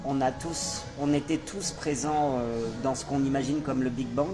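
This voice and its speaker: A man's voice: medium pitch, fairly even intonation, normal speed, over music. A man from France chatting casually with a stranger, rambling and hesitant, with many fillers. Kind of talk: casual chat.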